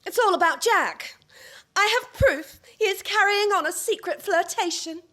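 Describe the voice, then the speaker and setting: A woman plays a loyal young wife close to tears in a radio drama. Her voice is very high, earnest and plaintive. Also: normal speed, pushed and bright. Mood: distressed, plaintive. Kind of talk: radio play acting.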